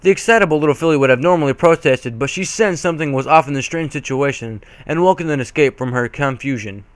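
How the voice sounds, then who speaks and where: A man reads fan fiction aloud in an amateur dramatic style. His voice is smooth and a little high, with occasional stumbles, in a dry recording. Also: quick pace, no room sound. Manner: stumbles over words, reluctant.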